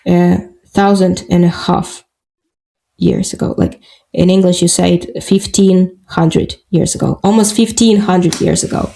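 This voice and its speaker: Slow, gravelly woman with Russian accent. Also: medium pitch, mellow, low-quality recording. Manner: halting, searching for English words, many fillers. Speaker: podcast guest. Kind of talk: casual interview talk.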